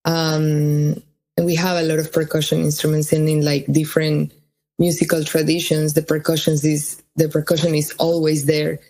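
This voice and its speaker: A woman's voice: low-pitched, completely flat delivery, moderate pace, dark tone. A woman from Colombia, a cellist and singer, answering interview questions in a thoughtful way with many fillers and restarts. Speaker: musician guest.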